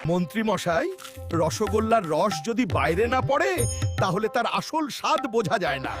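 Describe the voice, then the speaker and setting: A man voicing a witty, cheeky court jester in a children's cartoon, with a sly, theatrical, deliberate delivery. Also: high-pitched, sing-song. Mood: sly, teasing. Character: witty, cheeky male court jester flattering and teasing a king. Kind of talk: children's cartoon folk-tale voice acting. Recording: loud music behind the voice. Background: loud music.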